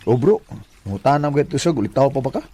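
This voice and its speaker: An actor with a Cebuano Filipino accent playing a friendly, easygoing, teasing co-worker in a Bisaya radio drama. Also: male voice, medium pitch, expressive, fast, warm tone, slightly rough.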